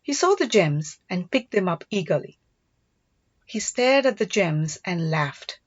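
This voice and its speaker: A woman's voice: on the low side, lively intonation, measured pace, no room sound. A woman reading a story aloud as scripted audiobook narration. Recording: dull, lo-fi, dry close recording.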